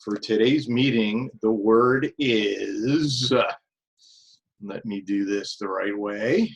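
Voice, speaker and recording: A man acting as grammarian at a club meeting on a video call, speaking in a formal, deliberate way. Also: on the low side, creaky, lo-fi sound. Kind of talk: explaining a meeting role.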